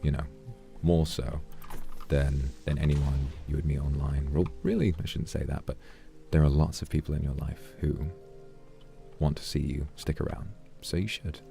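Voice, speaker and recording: A man streaming a game, chatting calmly and earnestly with viewers and thanking them for donations. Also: a bass voice, moderate pace, creaky, faint music behind. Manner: calm, reflective, earnest. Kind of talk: casual livestream chat while gaming.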